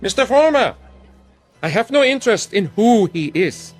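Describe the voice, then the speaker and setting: A cool, probing stranger questioning a demagogue. His voice is high and lively in pitch, with music behind him. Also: moderate pace.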